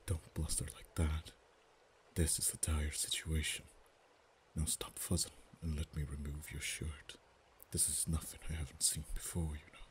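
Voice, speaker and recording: A man playing a deep, hushed dragon. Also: slight background noise. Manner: soft, hushed, often whispered. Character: protective male dragon in human form, tender yet teasing. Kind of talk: ASMR roleplay.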